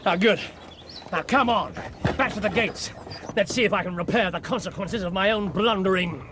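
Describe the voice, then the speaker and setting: An actor playing a brilliant, impatient Victorian detective in a radio drama, with crisp, clipped and commanding speech. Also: male voice, high-pitched, moderate pace, noisy background, natural voice. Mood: urgent. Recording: radio drama with music underscore.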